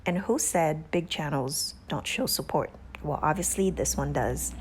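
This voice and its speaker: A woman with a Haitian accent hosting a casual vlog, giving gushing shout-outs with drawn-out emphasis and soft whispery asides. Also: low voice, slight background noise. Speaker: beauty channel host.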